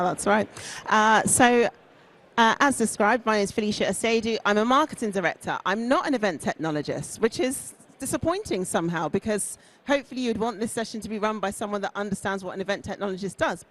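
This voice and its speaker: A woman giving a chatty conference presentation on stage with a booming voice, self-deprecating and talking straight to the audience. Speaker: conference speaker. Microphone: stage mic on a loudspeaker.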